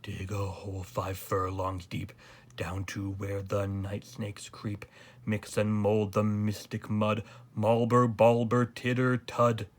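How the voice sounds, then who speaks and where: A man voicing sinister palace magicians in a storybook, chanting slowly and rhythmically. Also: fairly low pitch, monotone. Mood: incantatory. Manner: slow, rhythmic incantation.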